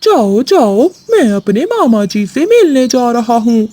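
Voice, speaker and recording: A woman's voice: fairly high pitch, sing-song, measured pace, mellow, no room sound. A woman voicing an easygoing, agreeable male crow husband in a cartoon. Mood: casual.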